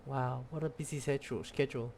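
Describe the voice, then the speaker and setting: A man's voice: medium pitch, fairly even intonation, fast talker, gravelly, a little mellow, slight background noise. A man giving casual let's play commentary as he streams a video game.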